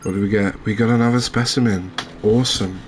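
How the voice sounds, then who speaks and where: A man giving relaxed, understated ASMR-style commentary as host of a gaming channel. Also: fairly low pitch, a little fast, slight background noise.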